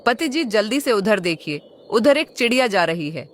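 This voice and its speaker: A woman's voice: medium pitch, sing-song, normal speed, a little hiss or hum, lightly acted. A woman voicing a scheming, hungry female hawk, a demanding wife and mother, in a children's cartoon. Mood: eager, scheming. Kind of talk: Hindi children's cartoon dialogue.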